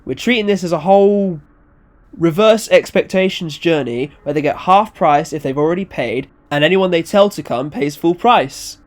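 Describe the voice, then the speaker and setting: A storyteller voicing a cocky, evasive, fast-talking man who manages clients, slangy, brazen and repeating himself. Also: mid-range voice, pitched above the performer's own voice, close to an everyday voice. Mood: cocky, insistent.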